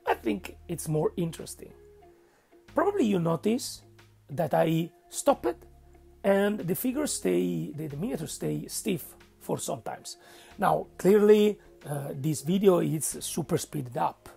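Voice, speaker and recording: Gravelly Italian-accented man teaching painting. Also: a little high, mellow. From Italy. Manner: halting, searching for words, frequent "okay?". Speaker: miniature painting instructor. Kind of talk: narrated painting tutorial.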